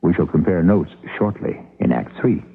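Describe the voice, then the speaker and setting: A man hosting a radio mystery, narrating in an ominous, dramatic manner with deliberate phrasing. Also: deep voice, expressive. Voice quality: gravelly, husky. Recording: tinny, slightly noisy radio broadcast.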